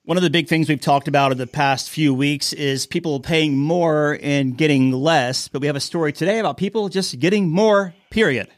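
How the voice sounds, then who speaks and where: A man with a slightly raised pitch hosts a casual news chat. He is informal and plain-spoken, recorded close with no room sound. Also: normal speed, hardly any pauses, dry recording. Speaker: podcast host.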